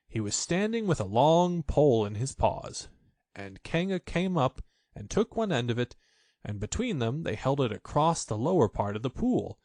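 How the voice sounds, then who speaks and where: A man reading a children's story aloud as an expressive audiobook narrator. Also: medium pitch, no room sound, clean studio sound.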